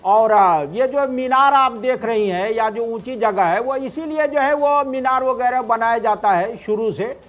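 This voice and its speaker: A man with a strikingly high-pitched voice answers as a cleric in an interview. He explains at length, haltingly, looping through the same filler phrases as he builds each point. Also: a bit bright. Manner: halting, wordy, heavy repeated verbal fillers.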